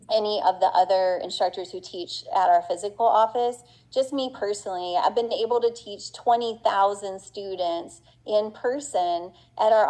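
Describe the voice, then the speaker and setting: A woman's voice: mid-range voice, darkish tone, poor audio. A middle-aged woman giving an earnest, chatty conference talk as a business owner, with plenty of fillers and restarts. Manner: earnest and passionate.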